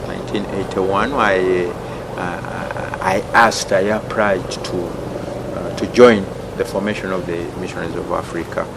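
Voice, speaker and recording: A man in his sixties from Uganda, a missionary priest answering interview questions at an easy pace, with frequent fillers and quiet chuckles. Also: low voice, fairly even intonation, a little slow, background noise. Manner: hesitant, many fillers, chuckles often.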